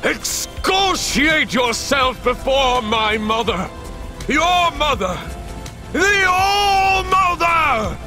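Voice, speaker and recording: A man's voice: extremely high pitch, lively intonation, extremely slow, over music, full character voice. A man playing a crazed street doomsday preacher in a video game, declaiming theatrically like a fire-and-brimstone zealot. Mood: fanatical condemnation. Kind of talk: ranting sermon, game acting.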